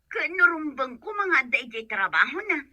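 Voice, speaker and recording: Casting: suspicious, nagging wife, high, very forceful edge, Ilocano accent, radio drama, dry sound. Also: female voice, sing-song, moderate pace, no room sound, full character voice. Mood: suspicious, exasperated. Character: distrustful, nagging wife working away from home. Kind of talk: comedic radio drama acting.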